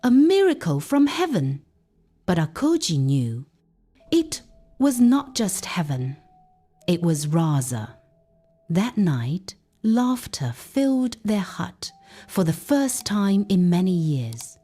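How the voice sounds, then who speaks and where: A woman narrating a folktale as a storyteller, with deliberate, emphatic and dramatic phrasing. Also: on the low side, sing-song, dark tone, faint music behind.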